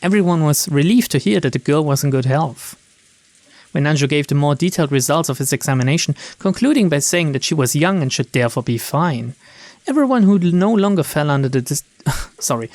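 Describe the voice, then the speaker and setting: A man's voice: fairly high pitch, expressive, brisk, darkish tone, no echo. A man reading game narration aloud and adding casual, wry commentary, informal in manner. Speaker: video game streamer, narrator.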